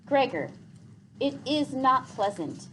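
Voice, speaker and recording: A woman voicing a wise, gentle old man in a storybook, speaking in a grave, formal and measured way. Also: high voice, expressive, a little hiss or hum, voice pushed up, heavily acted. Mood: solemn, earnest. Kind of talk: storybook read aloud.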